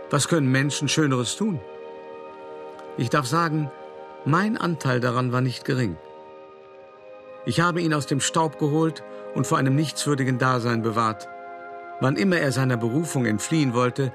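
A man's voice: mid-range voice, lightly acted. An actor playing a self-justifying art dealer who recounts his past in a genteel, earnest way, as a monologue in a German radio play.

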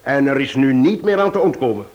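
Casting: male actor as composed chief inspector for radio play, mid-pitched, courteous, measured and firm. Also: lively intonation, a little mellow, highs cut off, natural voice. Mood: measured, planning. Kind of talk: radio drama acting.

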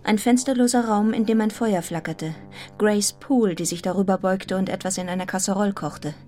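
Radio drama lead for an actress: wounded, resolute young governess, medium register, intense yet restrained narration, musical backing. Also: female voice, medium pitch, brisk, soft background music, natural voice. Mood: tense, restrained. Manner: restrained, intense, breaking into hushed fragments. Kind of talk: German radio play narration and acting.

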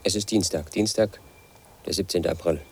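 Lead in a radio drama: an obsessive, paranoid 38-year-old loner, deep-voiced. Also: male voice, normal speed, husky, heavily acted. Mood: brooding. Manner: pedantic, confiding, obsessed with statistics and codes. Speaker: lead actor in a radio play. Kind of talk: first-person radio drama monologue.

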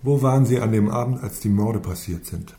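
Guy playing a police detective in a radio play, brusque, mellow voice, echoey noisy room. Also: fairly low pitch, brisk, close to an everyday voice. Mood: probing. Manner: brusque, methodical questioning. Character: gruff, impatient male police detective. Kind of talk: radio crime drama acting. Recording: echoey room with some noise.

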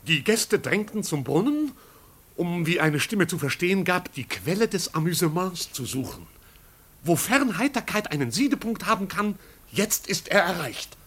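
An actor playing a pompous, flustered but loyal manservant in a 1950s German radio drama, with ceremonious, convoluted phrasing. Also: male voice, high-pitched, sing-song, normal speed, fairly bright tone, breathy edge, heavily acted. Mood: agitated reporting.

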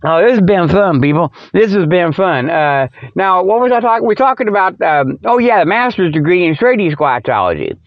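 A man's voice: high-pitched, sing-song, brisk, few pauses, no room sound. A man hosting a podcast, rambling through a comedic monologue in folksy, colloquial speech with a mock-salesman streak. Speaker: podcast host. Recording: dull, lo-fi radio-style audio.

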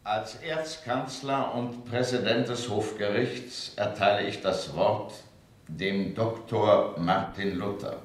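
Ein Schauspieler spielt in einem deutschen Hörspiel einen strengen, autoritären Gerichtspräsidenten, der förmlich und bestimmt deklamiert. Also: male voice, lowish voice, slow pace. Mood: formal, solemn. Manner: formal, declamatory, firm. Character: stern, authoritative male high court president and statesman. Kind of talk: German radio play acting. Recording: roomy, echoing chamber sound.

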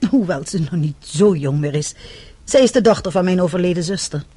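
Prim, husky middle-aged spinster aunt. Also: female voice, lowish voice, lively intonation, dark tone, dry recording. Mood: prim regret. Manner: formal, disapproving, measured. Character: prim, indignant, unworldly older spinster aunt and landlady. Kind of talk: Dutch radio play acting.

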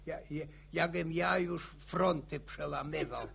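An actor playing a talkative, boastful old grandfather, halting and repeating himself in a radio drama. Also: on the high side, fairly even intonation, a crowd in the background. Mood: indignant, boastful. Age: older. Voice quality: creaky, gravelly. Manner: halting, stammering, repeats words.

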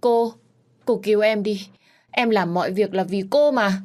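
A woman voicing a frightened young female servant who begs in a high, tearful voice. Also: measured pace, a little mellow, lightly acted. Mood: pleading.

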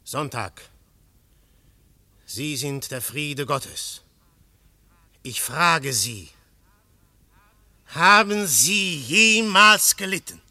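Forceful, fervent defender of order. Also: male voice, a little high, lively intonation, drawn-out words, long pauses, dry recording, heavily acted. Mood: solemn, pleading. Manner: fervent, rhetorical, swinging from hushed to outcry. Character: defiant, fervent male champion of law and order. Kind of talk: German radio play acting.

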